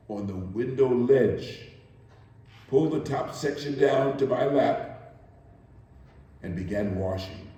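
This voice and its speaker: A man giving a theatrical first-person dramatic reading, deliberate and emphatic with dramatic pauses, recorded far from the mic in an echoey room. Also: mid-range voice, mellow. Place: live performance in reverberant room.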